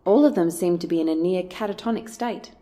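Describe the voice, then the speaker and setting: Low-voiced woman narrating. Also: a little fast, mellow. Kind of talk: scripted story narration. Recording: music under the voice.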